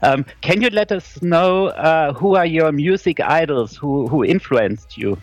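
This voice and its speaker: A man hosting a radio interview, asking measured questions of a band. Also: a little high, animated, dull audio, soft background music.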